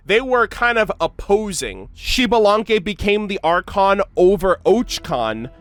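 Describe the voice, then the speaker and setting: A man giving excitable, slangy reaction commentary as a gaming creator, mixing in read-aloud game lore. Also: high-pitched. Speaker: gaming content creator, host.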